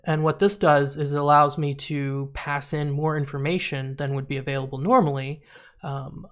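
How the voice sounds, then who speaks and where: A man's voice: on the high side, a bit bright. A man with a stuffy, sniffly nose, talking through his programming in a casual screen-share, thinking aloud with lots of fillers and trailing off to mutter while he types. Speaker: programmer streaming a coding session.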